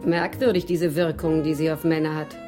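A woman's voice: low voice, little pitch movement, moderate pace, lightly acted. An actress playing a worldly, self-assured woman who teases and probes, in a German radio crime drama. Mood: knowing, boastful. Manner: teasing, self-assured, probing. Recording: faint music under the voice.

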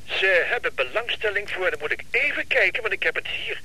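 An actor playing a fussy, apologetic, over-polite business acquaintance on the telephone in a radio drama. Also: male voice, sits high, very animated, a little fast, sharp tone, breathy edge, heavily acted, poor audio. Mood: fussy, businesslike. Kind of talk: radio drama acting, phone conversation.

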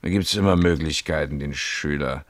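An actor playing a tactful, reassuring but bureaucratic schoolteacher in a radio play, speaking in a hesitant, measured and formal way. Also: male voice, a bass voice, no room sound. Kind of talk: 1970s German radio play acting.

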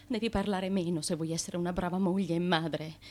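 An actress playing a fearful, haunted wife of an ancient Greek tyrant, intense and repetitive, in a theatrical radio drama. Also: female voice, lowish voice, steady tone, fairly quick, mellow, close to an everyday voice. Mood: stern, scornful.

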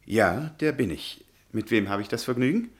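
An actor as timid professor, wide-swinging pitch. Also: male voice, lively intonation, lightly acted. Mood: bewildered, apologetic. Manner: hesitant, halting, polite. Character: timid, unworldly, scholarly history professor, hesitant and apologetic. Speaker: radio drama actor. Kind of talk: German radio play acting.

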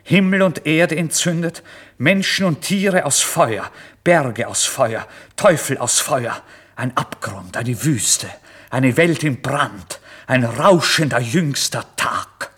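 A man's voice: mid-range voice, slow pace, husky. An actor playing a starving, feverish, proud man who narrates his own torment in a theatrical, intense radio monologue. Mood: feverish ecstasy. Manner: theatrical, intense, swinging from hushed to loud outbursts. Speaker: first-person narrator. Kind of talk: dramatic literary monologue for radio.

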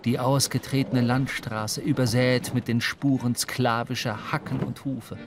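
An actor playing a reflective seafaring narrator, reading literary prose in a radio drama. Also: male voice, mid-range voice, flat delivery, unhurried, noisy background, lightly acted. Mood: exhilarated, lyrical. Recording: music under the voice. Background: music.

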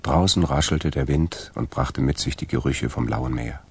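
A man acting as the narrator of a scripted crime radio drama, with a gravelly voice. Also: extremely low pitch, moderate pace, darkish tone, heavily acted. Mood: measured storytelling. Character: male narrator of a crime story.

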